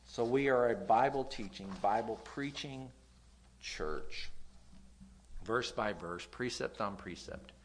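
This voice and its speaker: Nasal male pastor preaching, stresses lines loudly, drops quiet, stumbles on words now and then. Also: mid-range voice, slow, slight background noise. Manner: reads scripture aloud, swings between loud emphasis and quiet; occasional stutter on words. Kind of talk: sermon.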